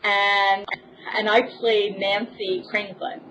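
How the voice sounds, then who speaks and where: A woman's voice: mid-range voice, flat delivery, slow pace, a bit bright, slight background noise. A woman speaking as a podcast guest, giving a casual introduction. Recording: dull, band-limited call audio.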